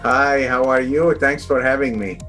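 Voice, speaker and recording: A middle-aged man chats as an interview guest over a video call. His voice sits at an ordinary, middle pitch, and he wanders through his answers with plenty of fillers and restarts. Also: fairly even intonation, moderate pace, sharp tone, soft background music. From Lebanon. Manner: rambling, with many fillers and restarts. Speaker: guest oncologist. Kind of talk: casual interview chat.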